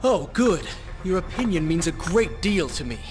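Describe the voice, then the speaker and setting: An actor playing a proud, defiant young prince in a video game, haughty and sarcastic. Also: male voice, fairly high pitch, big pitch swings, moderate pace, darkish tone, faint music behind. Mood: irritated, sarcastic.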